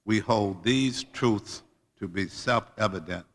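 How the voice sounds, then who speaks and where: Slow, low-voiced man orating in a hall. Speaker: reader at a commemorative event. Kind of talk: dramatic speech reading, solemn oratory. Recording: reverberant hall.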